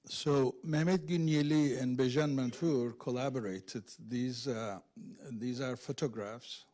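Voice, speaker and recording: A man speaking as a literary translator at an event, explaining in a halting, searching, scholarly way without a script. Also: medium pitch, fairly even intonation, mellow. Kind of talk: unscripted explanation at a reading Q&A.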